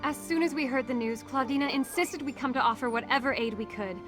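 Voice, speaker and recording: A woman plays an earnest, admiring ally in a fantasy video game, her voice fairly high, with faint music behind. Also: a little fast, close to an everyday voice.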